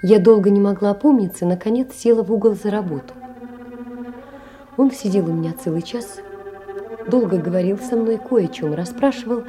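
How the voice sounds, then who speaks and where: An actress playing a poor, earnest young woman confiding in a dear friend, in a measured audio-play monologue. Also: mid-range voice, mellow, soft background music, natural voice. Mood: subdued, confiding. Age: young adult.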